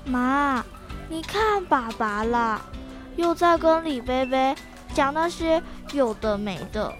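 A dutiful schoolgirl big sister in a radio play, acted by a girl. Her voice is high and childlike, her delivery slow and bossy, with music behind it. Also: animated. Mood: complaining. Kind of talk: children's radio drama acting.

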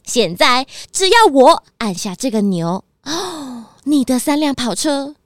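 A woman's voice: high-pitched, very animated, a little slow, dry recording, studio quality. A woman with a Taiwanese Mandarin accent playing a sly, righteous female fox thief in a children's radio drama, taunting and haughty. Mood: stern, threatening.

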